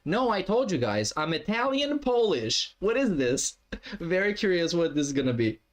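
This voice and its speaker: A man's voice: high-pitched, sing-song, moderate pace. A man doing reaction commentary on stream, mocking and heckling with lots of swearing.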